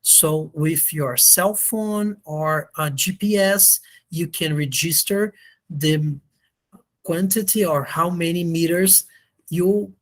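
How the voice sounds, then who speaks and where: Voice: medium pitch, takes it slowly. A slightly sick lecturer who is not a native English speaker, explaining hesitantly on a video call and often checking understanding. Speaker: university lecturer.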